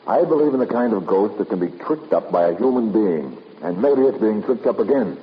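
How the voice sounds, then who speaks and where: An actor playing a shrewd, self-assured private investigator with a clipped, probing manner in a 1950s radio drama. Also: male voice, fairly low pitch, animated, a little fast, natural voice. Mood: probing, wry. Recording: muffled, hissy 1950s radio recording.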